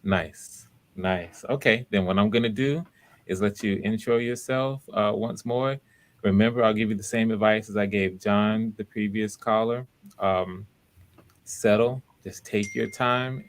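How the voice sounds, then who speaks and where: Encouraging low-voiced male audition host. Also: vocal fry. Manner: encouraging, enthusiastic. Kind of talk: casual live feedback and hosting.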